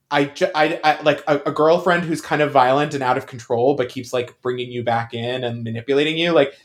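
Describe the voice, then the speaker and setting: A man co-hosting a podcast, chatting casually about pop culture in an emphatic, joking way. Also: mid-range voice. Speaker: podcast co-host.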